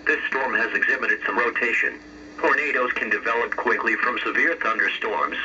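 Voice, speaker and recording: A man's voice: medium pitch, moderate pace, sharp tone, a little hiss or hum. Synthetic male weather radio voice, muffled. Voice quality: synthetic text-to-speech voice. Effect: radio broadcast. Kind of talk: scripted emergency weather alert reading.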